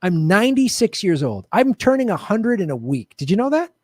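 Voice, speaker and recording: A man hosting a live political show from a studio with bright lights, chatting casually and joking in a playful, self-mocking way. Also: high voice, sing-song, fairly quick, no echo. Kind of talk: casual livestream chat.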